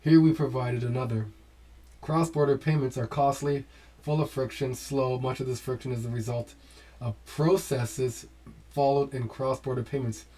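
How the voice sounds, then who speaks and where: A man reads documents aloud in a mellow, unhurried voice. He stops often to address his viewers directly, urging them with animated exclamations before picking the text back up. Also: mid-range voice. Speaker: host. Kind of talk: casual commentary while reading documents aloud.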